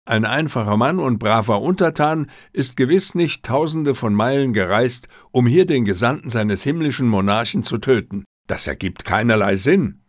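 A man reading the part of a confident, gently mocking detective with an assured, lecturing manner in an audiobook. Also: medium pitch, expressive, normal speed, hardly any pauses, no room sound, studio quality, close to an everyday voice. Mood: dry, lecturing.

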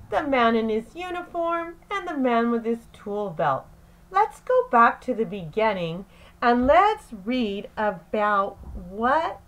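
A woman teacher reading aloud to young children in a deliberate, phrase-by-phrase way, as in an expressive picture-book lesson. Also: a little high, rough recording.

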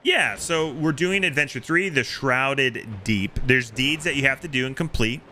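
A man's voice: mid-range voice, measured pace, bright, forceful tone, a little hiss or hum. A man in his thirties chatting casually on a game live stream in a playful, theatrical storytelling way, close on the mic in a small home room. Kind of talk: casual live-stream chat while gaming. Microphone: close streaming microphone.

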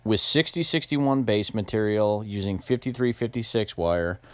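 A man with a fairly low voice gives step-by-step instructional narration. He is casual and plain-spoken, and the recording is very dry, with no room sound. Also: expressive, normal speed, a bit bright, dry recording. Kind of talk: step-by-step tutorial voice-over.

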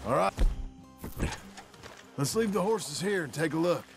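Gravelly, brooding outlaw gunslinger. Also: male voice, a little high, lively intonation, a little slow. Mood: businesslike. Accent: American. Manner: weary, plain-spoken rustic drawl. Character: worried, brooding male outlaw gunslinger, regretful father. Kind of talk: video game dramatic dialogue. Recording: loud music, horse hooves behind.

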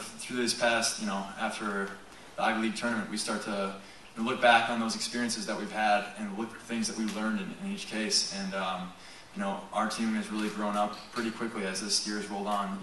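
Young male athlete, postgame presser, even and unhurried, lots of fillers; table mic, caught from across a hall with faint ambience. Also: fairly low pitch, slight background noise. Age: young adult. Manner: measured, fills with "you know" and "um". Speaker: college lacrosse player. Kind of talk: postgame press conference answers. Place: press conference room. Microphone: table mic, recorded from a far camera. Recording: roomy echo from far off.

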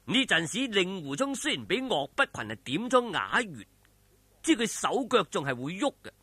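Man, Cantonese accent, dramatic storyteller, high-pitched, very lively intonation, suspenseful, colloquial, husky. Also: normal speed, no room sound. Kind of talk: dramatic oral storytelling of a martial arts novel.

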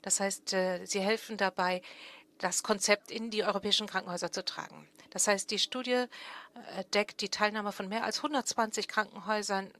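A woman's voice: medium pitch, fairly even intonation, fairly quick, a bit bright. A woman in her thirties from Germany, moderating a panel and presenting a research project on a large conference stage with a lectern microphone, explaining steadily with a few fillers and self-corrections.

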